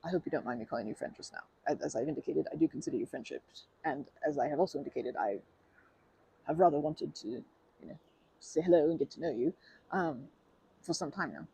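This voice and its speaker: A woman's voice: sits low, animated, fast talker, creaky, warm tone, slightly rough, exaggerated voice, low-quality recording. A woman voicing a polite, awkwardly formal stranger in an ASMR roleplay, rambling with many fillers and self-corrections. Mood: shy rambling.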